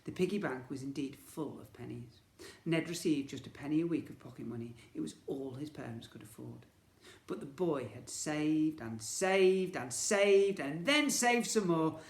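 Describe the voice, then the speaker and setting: A man reading a children's story as a teacher would, with dramatic, expressive narration. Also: fairly high pitch, normal speed, vocal fry. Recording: echoey room.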